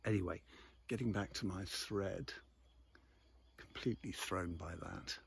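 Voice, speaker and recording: A man chatting casually and hesitantly in an unhurried, rambling way, easily sidetracked, speaking outdoors into a phone. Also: deep voice, creaky, breathy edge. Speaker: vlog host and local magazine columnist. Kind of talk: rambling casual morning chat to camera. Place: outdoors in the countryside above a valley.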